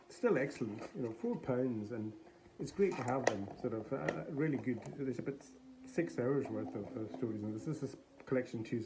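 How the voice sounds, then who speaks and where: A man chatting casually to viewers in a rambling, halting way about something he bought. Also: medium pitch, warm tone, low-quality recording. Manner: rambling, hesitant, halting delivery. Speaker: collector showing a charity shop buy. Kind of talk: casual vlog chat. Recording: faint background noise.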